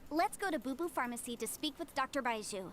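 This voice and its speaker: Casting: a polite, assured young advocate for a fantasy game; bright-pitched, crisp formal wording, businesslike. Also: female voice, animated, fast, over music. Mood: brisk, assured. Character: confident, businesslike young female legal adviser. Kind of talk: scripted game dialogue.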